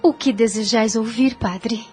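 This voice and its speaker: A woman's voice: on the high side, measured pace, a little mellow, soft background music, close to an everyday voice. An actress playing a sweet, dutiful young woman, speaking earnestly and reverently in an audio novel. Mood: tender, dutiful. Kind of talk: religious audio novel acting.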